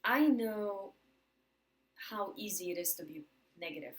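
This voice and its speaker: A woman with a Polish accent delivers a motivational talk to camera. Her delivery is emphatic, with words repeated for stress. She slows deliberately on the phrases that matter most. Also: mid-range voice, lively intonation, fairly bright tone. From Poland. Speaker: motivational speaker.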